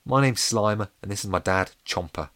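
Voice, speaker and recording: A man's voice: lowish voice, a little fast, dry recording, studio quality, natural voice. A man voicing a friendly eleven-year-old boy ghost in a storybook, apologetic and embarrassed by his father. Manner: apologetic, gently reproachful.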